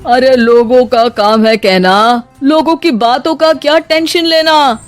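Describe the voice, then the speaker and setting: A woman's voice: high-pitched, measured pace, faint music behind. Woman acting an easygoing, dismissive cartoon husband. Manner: casual, brushing complaints aside. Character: easygoing, dismissive husband, adult son of the household. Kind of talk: animated story character acting.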